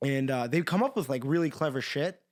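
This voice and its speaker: A man's voice: mid-range voice, fairly quick. Tired, rambling young man. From Iran. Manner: rambling, heavy swearing and filler words. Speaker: podcast co-host. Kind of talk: casual chat.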